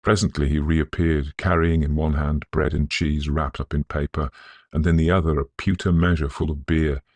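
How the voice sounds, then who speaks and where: A man narrating a Victorian ghost story in a measured, scholarly storytelling manner. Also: very deep voice, flat delivery, darkish tone, no room sound.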